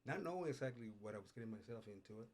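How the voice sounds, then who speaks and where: A middle-aged man from Puerto Rico, a culinary instructor, telling his life story in a relaxed way, with frequent pauses and "uh"s, in a studio interview. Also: mid-range voice. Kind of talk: casual interview. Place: radio studio.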